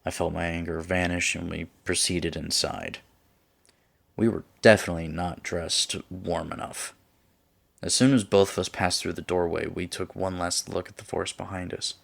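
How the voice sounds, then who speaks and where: Deep, gravelly male horror narrator. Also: flat delivery, normal speed, no room sound. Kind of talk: scripted first-person horror story narration.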